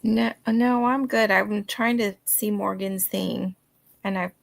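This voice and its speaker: Woman with a very creaky voice, unhurried. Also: mid-range voice, steady tone. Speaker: livestream host of crafting sprints. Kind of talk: casual chat.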